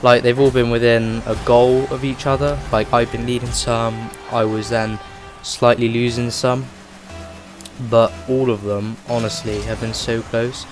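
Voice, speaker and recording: A man hosting a gaming channel, chatting casually over his gameplay and rambling with lots of fillers, his voice rough from a cold. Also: medium pitch, little pitch movement, measured pace, over music.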